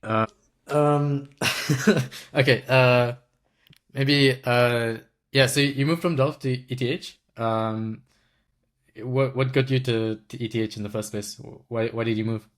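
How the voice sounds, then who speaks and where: A man hosting an interview podcast, asking questions in a relaxed, slangy, conversational way full of fillers. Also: mid-range voice, takes it slowly. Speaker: podcast host. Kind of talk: casual interview.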